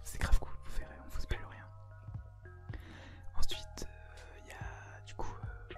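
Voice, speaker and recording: A young adult whispers a casual anime review as co-host, in informal youth slang. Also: measured pace. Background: music.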